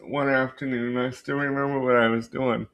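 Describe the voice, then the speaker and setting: A man hosting a book channel, telling a story casually and unscripted, haltingly searching for words and often rephrasing himself. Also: mid-range voice, flat delivery, fairly bright tone, muffled recording. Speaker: book review channel host.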